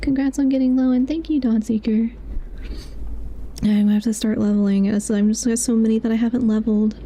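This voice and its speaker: A sleepy woman streamer with a very soft, dark tone and a slightly high pitch. She rambles casually, thinking aloud as she plays. There is faint noise behind her. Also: fairly even intonation, a little fast. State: sleepy, yawning. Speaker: live streamer. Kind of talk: casual game stream chat.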